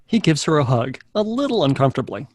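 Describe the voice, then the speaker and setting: A man's voice: a little high, very animated, fast, a little mellow, no room sound, clean studio sound. A man acting as narrator, reading scripted stage directions for a table read.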